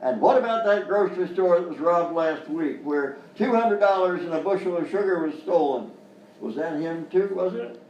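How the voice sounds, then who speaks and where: A man's voice: high voice, moderate pace, a little mellow, highs cut off, lightly acted. A man playing a blustering, folksy Old West cowboy with a Western American drawl in a live radio-style comedy sketch. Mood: boastful. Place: live performance in a hotel venue. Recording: roomy live stage, distant mic, faint noise.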